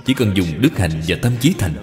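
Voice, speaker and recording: A man's voice: deep voice, expressive, normal speed, a little mellow, soft background music. A man voicing an earnest, moralizing married elder brother in a cartoon. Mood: solemn advice. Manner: earnest, admonishing. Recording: long hall reverb.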